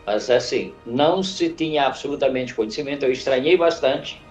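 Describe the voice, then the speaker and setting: An older man from northern Portugal, a church elder, recalling his life slowly with restarts in a studio interview. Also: mid-range voice, dull audio, soft background music. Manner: halting, reflective storyteller with frequent restarts. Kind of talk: unscripted interview. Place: podcast studio.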